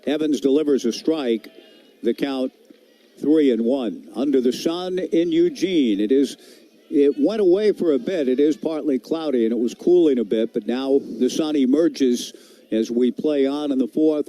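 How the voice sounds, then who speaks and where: Mellow American baseball radio announcer. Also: male voice, medium pitch. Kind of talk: sports play-by-play commentary.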